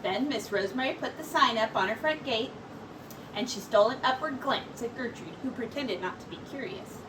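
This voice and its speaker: A woman teacher reading a picture book aloud to young children in an expressive, animated storytelling manner with dramatic pauses. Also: fairly high pitch. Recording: distant mic, faint background noise.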